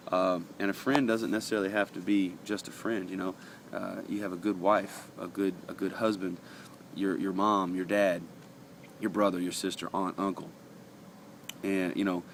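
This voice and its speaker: Deep-voiced man giving an earnest devotional. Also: background noise. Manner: earnest, conversational, with frequent uh fillers and restarts. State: sniffly from allergies. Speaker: daily devotional host. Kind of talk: casual devotional talk, reading passages aloud.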